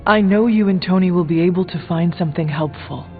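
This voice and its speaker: An actor playing an earnest warrior hero in a superhero video game, with formal, deliberate speech. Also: male voice, high-pitched. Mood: resolute. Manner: formal, deliberate, avoids contractions. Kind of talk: video game dialogue acting. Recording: thin narrow band, reverberant, music behind.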